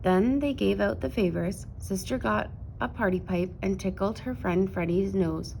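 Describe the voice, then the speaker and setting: A woman narrating a children's picture book aloud in an expressive storytelling style. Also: mid-range voice, normal speed, vocal fry, slight background noise, poor audio.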